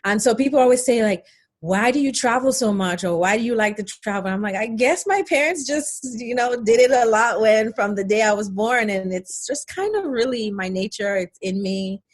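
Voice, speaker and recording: A woman's voice: a little high, expressive, normal speed. A middle-aged woman from Nigeria, born in England, chatting casually and telling stories as an interview guest. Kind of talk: casual conversational storytelling.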